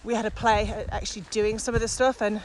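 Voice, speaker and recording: A woman with a mid-pitched voice talks in a casual, enthusiastic way as a podcast co-host. She is walking outdoors, with faint ambient noise behind her. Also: quick pace, poor audio. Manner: enthusiastic, animated about the subject. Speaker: podcast co-host and virtual training coach. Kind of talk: casual conversation recorded while walking. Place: outdoors, walking on a muddy path.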